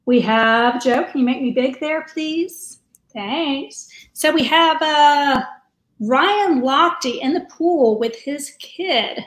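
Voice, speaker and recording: A woman's voice: high-pitched, slow pace, a bit bright. A woman in her forties co-hosting a casual video chat from home, chatty and laughing things off. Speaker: co-host. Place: home room with tiled wall. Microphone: video call webcam. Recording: echoey home room.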